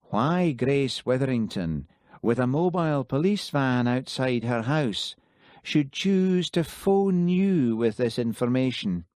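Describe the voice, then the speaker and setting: A man's voice: medium pitch, expressive, slow pace, darkish tone, dry recording, studio quality, voice pushed up. A man voicing an irritated, officious senior police detective who questions in a formal, demanding way. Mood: probing.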